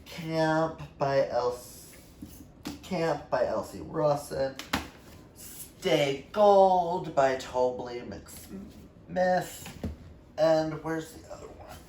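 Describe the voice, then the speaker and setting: A man's voice: fairly high pitch, extremely slow, pauses often, a little mellow, far from the mic. A man chatting casually to viewers about books in a gushing, emphatic way. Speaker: book reviewer on a vlog. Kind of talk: casual book chat to viewers. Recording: echoey room with faint background noise.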